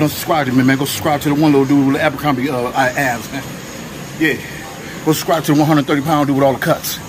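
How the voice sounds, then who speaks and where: A man's voice: mid-range voice, background noise. A man talking in a casual, slangy, hyped-up way in a fitness vlog, with some swearing. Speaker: fitness vlogger. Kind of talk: casual motivational vlog talk. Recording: music playing behind.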